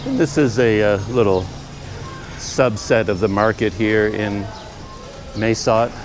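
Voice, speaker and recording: A Canadian man talking casually to his camera in a busy outdoor market, thinking aloud with plenty of pauses and fillers. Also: fairly low pitch, music in the background. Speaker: travel vlogger. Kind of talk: casual talk to camera while walking. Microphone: camera mic. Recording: street noise around the camera. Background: traffic and trucks passing.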